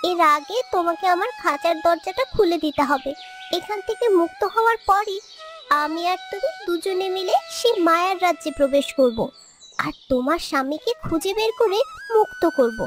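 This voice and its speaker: A woman's voice: very high-pitched, lively intonation, moderate pace, a bit bright, soft background music. A woman voicing an earnest, helpful young fairy trapped as a bird in a children's fairy tale cartoon.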